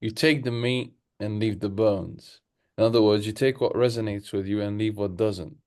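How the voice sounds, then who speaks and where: A man's voice: on the low side, steady tone, moderate pace, darkish tone. A young man co-hosting a casual podcast over a video call from his room, giving advice with a put-on British-sounding accent. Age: young adult. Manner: playful, then earnest advice-giving. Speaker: podcast co-host. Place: room at home, seated in gaming chair. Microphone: video call webcam.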